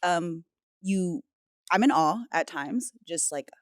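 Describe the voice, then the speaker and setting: A woman on a podcast, joining the chat in an engaged, reflective way and quick to agree. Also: lowish voice, lively intonation, dry recording, clean studio sound. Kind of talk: casual chat.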